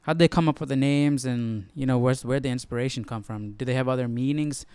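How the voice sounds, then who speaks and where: A man's voice: medium pitch, fairly quick, mellow, smooth, dry recording. A man hosting a live study stream, thinking aloud in a casual, inquisitive way. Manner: musing, inquisitive. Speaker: host of a Bible study stream.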